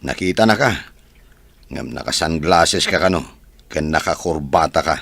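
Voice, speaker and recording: A man acting as a teasing boss in an audio drama, with a gravelly, husky voice. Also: rumbling low, lively intonation, normal speed, fairly bright tone, no echo, heavily acted. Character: male boss, probing and teasing his friend.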